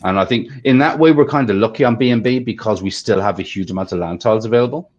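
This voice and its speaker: A man's voice: on the low side, fast. A man hosting a casual discussion, chatty, often checking for agreement. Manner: chatty, asks for agreement with "right?". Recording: dull lo-fi audio.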